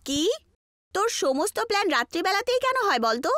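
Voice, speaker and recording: A woman's voice: very high-pitched, very animated, normal speed, a bit bright, no room sound, studio quality, voice pushed up, heavily acted. A woman voicing a frightened, scolding young college student who protests anxiously in an acted horror story. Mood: alarmed, scolding. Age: young adult.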